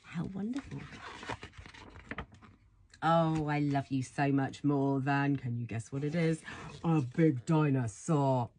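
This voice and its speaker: A woman reads a children's bedtime story aloud in a soft, husky voice, affectionate and playful, full of wonder. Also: low-pitched, takes it slowly, long pauses, slight background noise. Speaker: storybook reader.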